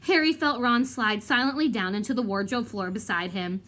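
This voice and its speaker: A woman reading a children's novel aloud like a teacher, in an expressive, dramatic storytelling manner. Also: on the high side, moderate pace. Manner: animated, dramatic.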